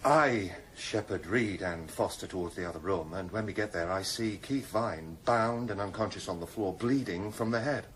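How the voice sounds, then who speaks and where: A man playing a tough British police detective in a radio drama, measured, controlled and matter-of-fact. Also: deep voice, fairly bright tone, slight background noise. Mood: sober reporting. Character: steady, tough male police detective in command. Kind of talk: 1990s radio drama acting.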